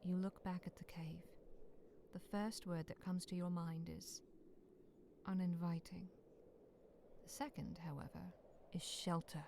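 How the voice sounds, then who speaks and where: Solemn, lower-pitched woman narrating a story. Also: fairly even intonation, a little slow, creaky, long pauses, darkish tone, a little hiss or hum. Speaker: narrator of a visual novel. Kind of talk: scripted second-person dramatic story narration.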